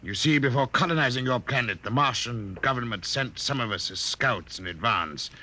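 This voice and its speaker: An actor playing a coldly polite Martian invader disguised as a human, formal and condescending, in 1950s radio drama style. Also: male voice, mid-range voice, expressive, normal speed, pushed and bright. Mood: mocking, smug. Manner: smooth, condescending, formal menace. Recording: noisy vintage radio recording.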